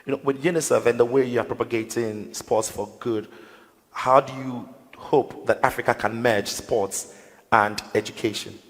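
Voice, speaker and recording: A man moderating a conference panel, asking quick, conversational questions. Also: mid-range voice, fairly even intonation. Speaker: panel host. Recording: long hall reverb.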